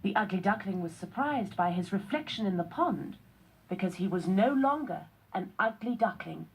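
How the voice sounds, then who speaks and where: A woman giving scripted narration on a children's read-along, with a deliberate, instructional storyteller delivery. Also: medium pitch, animated, slightly rough, poor audio.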